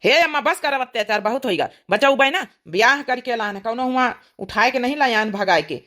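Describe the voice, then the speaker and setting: A woman's voice: a little high, expressive, fairly quick, a bit bright. A woman playing a protective young village son in an Awadhi cartoon comedy, speaking in a firm, admonishing manner.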